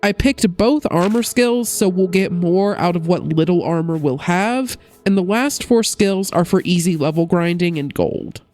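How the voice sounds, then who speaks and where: A woman giving a steady, explanatory gameplay narration as a gaming video creator, her voice a little off while she recovers from a cold. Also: fairly low pitch, normal speed, hardly any pauses, faint music behind. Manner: steady, explanatory rule rundown. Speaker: gaming YouTuber.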